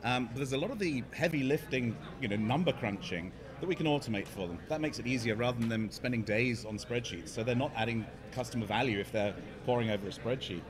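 A man's voice: mid-range voice, fast talker, few pauses. A middle-aged man with an English accent, a startup CEO explaining his work in an interview. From England. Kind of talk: conference interview. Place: busy hotel conference hall, indoors. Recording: noisy crowd in a conference hall. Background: crowd chatter.

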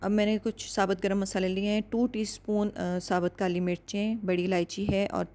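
Female cooking host, mid-pitched, calm and measured, giving clear recipe instructions. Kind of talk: step-by-step recipe voice-over.

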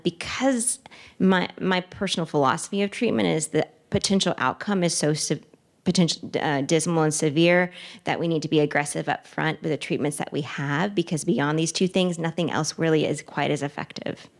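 A woman's voice: sits low, moderate pace. A woman in her thirties, a child neurologist, answering audience questions into a handheld microphone in a conference room, thoughtful and full of fillers and restarts. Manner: gestures with her free hand while speaking. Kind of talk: answering questions at a medical talk. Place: conference room in front of a wooden wall.

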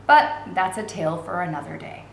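A woman's voice: on the low side, lively intonation, a little fast, a bit bright, voice pushed down. A woman voicing a friendly, talkative young male shopkeeper with emphatic delivery in a storybook reading. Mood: chatty. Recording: roomy echo with faint background noise.

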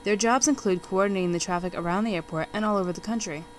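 A woman's voice: medium pitch, quick pace, slight background noise. A young woman, a student narrator, reading a formal documentary script. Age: young adult. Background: music bed.

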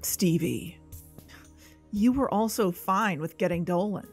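A woman's voice: lowish voice, slow, a little mellow, slightly rough, soft background music, heavily acted. A woman playing a guarded, earnest podcast investigator in a conversational audio drama. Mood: defensive, earnest. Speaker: fictional podcast co-host.

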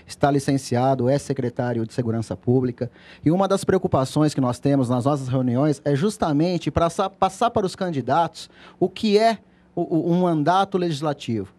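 A man's voice: a little high, expressive, moderate pace, a little mellow. A man in his forties with a São Paulo Brazilian accent, giving formal answers as an interview guest. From Guarulhos, Brazil. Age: 40s. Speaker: party secretary, interview guest. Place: TV studio. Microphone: handheld microphone held near mouth.

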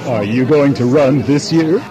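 A man's voice: mid-range voice, a little slow, crowd noise, close to an everyday voice. An actor playing a male radio reporter doing street interviews with formal, earnest questions. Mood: brisk, inquiring. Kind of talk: mock news radio interviewing. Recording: noisy crowded street recording.

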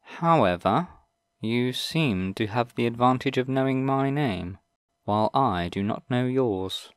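A man's voice: medium pitch, expressive, smooth, dry recording, clean studio sound, close to an everyday voice. A man doing an ancient, grave wizard. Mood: disappointed. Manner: slow, deliberate and formal. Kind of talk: audiobook character reading.

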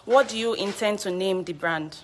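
A woman reporter briskly interviewing and prompting on a live walkthrough. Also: medium pitch, slight background noise. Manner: brisk, prompting, many okays. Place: outdoor compound, then indoor rooms.

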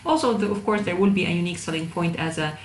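A woman's voice: fairly low pitch, fast. A woman instructor giving an informal lecture to students, addressing them directly, with a hesitant delivery that often repeats words. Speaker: university instructor of digital marketing. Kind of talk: informal online lecture over slides. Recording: roomy echo, faint background noise.

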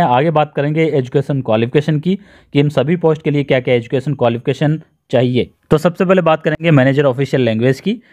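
A man's voice: mid-range voice, darkish tone. A man with an Indian accent narrating an explanatory screen-share tutorial in an instructional, step-by-step way, repeating points for emphasis. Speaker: presenter of job notification videos.

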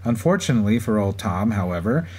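A man's voice: on the low side, animated, fast, a little mellow, close to an everyday voice. A man co-hosting a podcast with scripted banter and historical storytelling. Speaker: podcast co-host. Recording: faint background noise and ambience.

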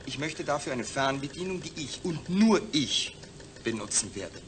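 A man's voice: a little high, expressive, normal speed, lightly acted. A man playing a proud, demanding astronaut patient in a science-fiction radio drama, speaking in an emphatic, articulate way. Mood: firm, demanding.

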